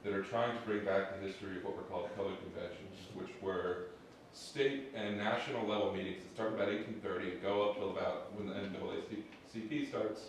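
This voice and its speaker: A man's voice: low voice, fairly even intonation, brisk. A man answering an audience question on a panel in an informal, enthusiastic, discursive way, like someone promoting projects. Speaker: digital history project member and panelist. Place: large echoey room at a public event. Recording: echoey hall, distant mic, faint noise.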